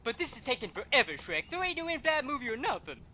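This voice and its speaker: A man's voice: extremely high pitch, big pitch swings, pushed and bright, exaggerated voice. Man voicing a restless, whining cartoon donkey. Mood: bored complaining. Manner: fast, whining, chattering. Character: impatient, restless talking donkey sidekick. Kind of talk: animated film fandub.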